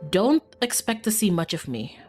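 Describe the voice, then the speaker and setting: A woman's voice: mid-range voice, very animated, moderate pace, close to an everyday voice. Woman putting on a curt, haughty young cousin. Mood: dismissive. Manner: curt, condescending, formal. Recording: background music.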